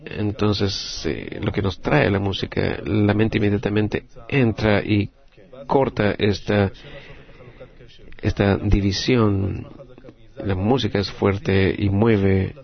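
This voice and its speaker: A man with a Latin American Spanish accent interpreting a group discussion live, in halting, phrase-by-phrase delivery. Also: on the low side, steady tone, gravelly, lo-fi sound.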